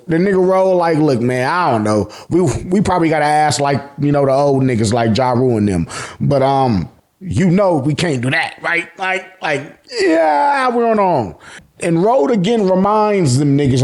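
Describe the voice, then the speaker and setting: Animated high-pitched American man, casual recap. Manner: colloquial, animated storytelling, acts out reactions. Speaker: YouTube host recapping manga. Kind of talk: casual, slangy recap commentary.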